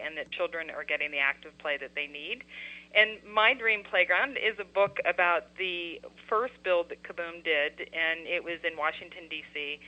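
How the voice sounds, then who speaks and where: A woman guest author on a radio interview, explaining her nonprofit's work in an earnest, steady way. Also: on the low side. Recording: dull lo-fi radio audio.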